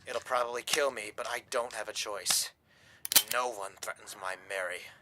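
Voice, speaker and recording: A man's voice: lowish voice, fairly even intonation, normal speed, very noisy, natural voice. An actor in a radio drama playing a desperate, guilt-ridden young gangland man who is protective of his lover, earnest and intense. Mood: grim, brooding.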